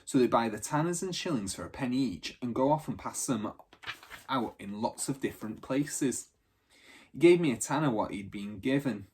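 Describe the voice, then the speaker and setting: A man's voice: medium pitch, lively intonation, normal speed, a little mellow, slight background noise, natural voice. A man voicing an earnest, practical street boy from a storybook gang in a colloquial, matter-of-fact way. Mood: earnest reporting.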